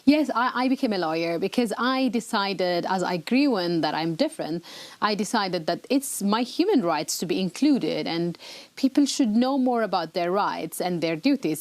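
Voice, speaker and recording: A woman's voice: lowish voice, darkish tone, no room sound. A woman, a guest in a studio interview, explaining things earnestly with frequent hesitations. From Ethiopia. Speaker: interview guest, rights activist. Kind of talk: broadcast interview answers. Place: broadcast studio.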